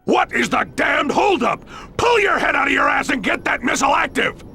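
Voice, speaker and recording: High, barking villain boss. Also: male voice, animated, moderate pace, creaky, sharp tone, raised voice, faint music behind, heavily acted. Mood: furious, impatient. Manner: barking, explosive. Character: furious, bullying villain boss, foul-mouthed and impatient. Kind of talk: scripted game dialogue.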